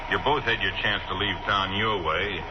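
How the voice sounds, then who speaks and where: A gruff, threatening radio-drama thug, played by a man. His voice sits very low and he talks in ungrammatical tough-guy lines. The old broadcast is muffled and buried under loud hiss. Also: fairly even intonation, moderate pace, lightly acted. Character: tough menacing male thug. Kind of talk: 1940s radio acting.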